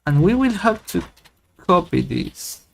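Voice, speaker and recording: A man giving a casual, rambling software tutorial, joking and humming tunes as he goes. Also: high voice, very animated, slow pace, creaky. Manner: playful, rambling, hums and sings tunes while waiting. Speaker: tech YouTuber. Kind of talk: casual screen-recorded tutorial.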